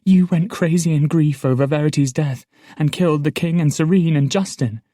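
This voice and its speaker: A man voicing an excitable, headstrong elderly noblewoman in an audiobook, talking in a rapid, indignant rush. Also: mid-range voice, slightly rough, no room sound, studio quality, lightly acted. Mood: breathless. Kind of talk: audiobook character voice.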